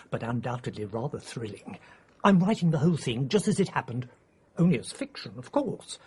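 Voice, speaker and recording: An actor playing an eccentric, self-pleased English male mystery writer in a radio drama, effusive and theatrical, with a husky voice. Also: mid-range voice, full character voice. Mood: thrilled.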